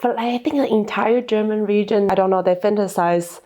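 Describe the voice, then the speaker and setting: A woman's voice: mid-range voice, moderate pace, mellow. A woman hosting a casual interview, teasing her guest and laughing often. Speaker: host.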